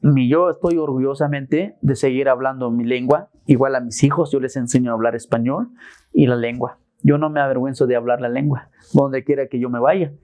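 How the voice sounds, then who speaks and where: A man's voice: medium pitch, fairly even intonation, a little fast, darkish tone. Earnest man. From Tenejapa, Chiapas, Mexico. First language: Tzeltal. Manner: plain, earnest, short phrases. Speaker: former prisoner.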